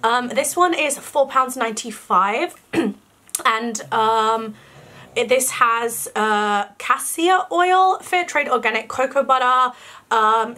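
A young woman with a croaky voice and a cough, chatting casually through a product haul in her bedroom. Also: a little high, pushed and bright. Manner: chatty, rambling, lots of fillers. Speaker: beauty vlogger. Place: bedroom, indoors.

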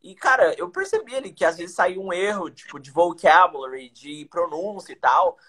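A man's voice: sits high, animated, moderate pace, fairly bright tone, breathy edge. A young man from Brazil, an English teacher, chatting energetically on a video call with slang and rhetorical questions. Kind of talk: casual live-stream chat, teaching tips. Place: indoors, small room. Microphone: webcam on a video call.

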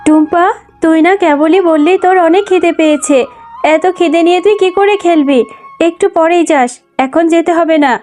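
A woman voicing a loving, worried mother fox in a cartoon, tender and gently scolding. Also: extremely high pitch, moderate pace. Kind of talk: cartoon voice acting. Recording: music under the voice.

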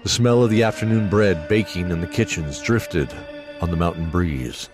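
A man's voice: low voice, moderate pace. A man reading scripted narration for a fantasy audio drama. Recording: music behind the voice.

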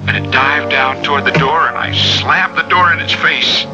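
Haunted, high-voiced man over loud music played by an actor. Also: moderate pace, natural voice. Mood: urgent dread. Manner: intense, fearful, breathlessly confiding storytelling. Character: haunted, obsessive man besieged by a living wind. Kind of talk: 1980s radio drama acting. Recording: dull band-limited radio recording, loud dramatic score.

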